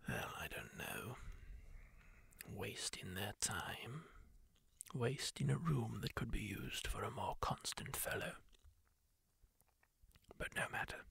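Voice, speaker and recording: A man plays a kindly masked wanderer, tending a wounded stranger in a soft ASMR whisper. The voice is gravelly and breathy, drawn out slowly, yet it lilts up and down with gentle, musing asides. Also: lowish voice, no room sound, full character voice. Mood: soothing. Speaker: ASMR roleplay creator.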